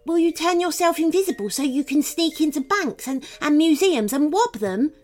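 A woman's voice: sits high, expressive, moderate pace, a little mellow, pitched above the performer's own voice. A woman voicing a feeble, eager male zombie assistant who stammers and fawns in a children's storybook tale. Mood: puzzled, timid. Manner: stammering, servile, pleading. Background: music.

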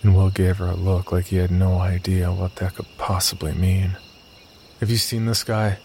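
A deep, creaky male voice of an actor narrating horror in the first person. The delivery is very flat and wry, like a scripted story told deadpan, with faint night crickets behind. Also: moderate pace, slight background noise. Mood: tense. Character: wry, sardonic young man telling his horror story.

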